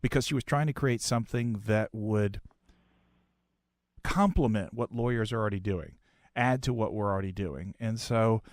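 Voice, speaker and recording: A man's voice: fairly low pitch, moderate pace, no echo, studio quality. A man hosting a radio interview in a conversational style. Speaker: radio show host.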